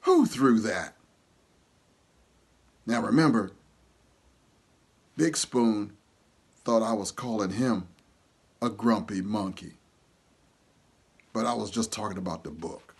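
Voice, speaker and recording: A man with a gravelly, husky voice reading a children's story aloud in an animated way. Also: fairly low pitch, slow pace, long pauses. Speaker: storybook reader. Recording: reverberant hall-like room echo.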